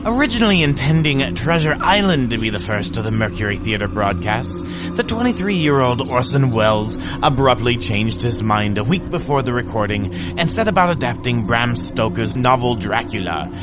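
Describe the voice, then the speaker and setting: Man acting as horror host, campy, very animated intonation, theatrical drawl, scripted introduction, prominent music behind. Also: medium pitch, lightly acted, poor audio. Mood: playfully spooky. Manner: theatrical, swooping, drawn-out delivery. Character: campy horror host greeting boys and ghouls. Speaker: podcast host presenting old-time radio. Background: loud music.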